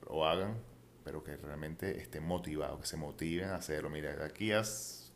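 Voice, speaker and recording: Man, very low voice, rambling co-host, faint background hiss. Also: a little fast. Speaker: podcast co-host. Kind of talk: casual chat.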